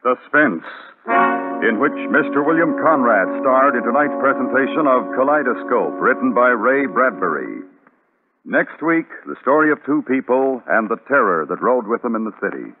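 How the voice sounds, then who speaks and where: A man's voice: medium pitch, big pitch swings, normal speed. A man making a scripted announcement in the style of a 1950s radio announcer. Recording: tinny narrowband 1950s radio recording. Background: loud orchestral brass music.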